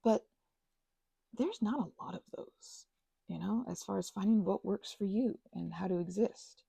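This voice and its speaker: A woman's voice: medium pitch, a little slow, gravelly, mellow, breathy edge, dry recording. A woman chatting informally to her listeners in a rambling, meandering way, drifting into asides and offering casual encouragement. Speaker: ASMR and audio channel creator.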